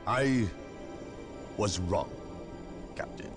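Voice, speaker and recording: An actor playing a suspicious male inquisitor in a video game cutscene, speaking in a measured, formal way. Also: on the low side, over music. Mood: grave.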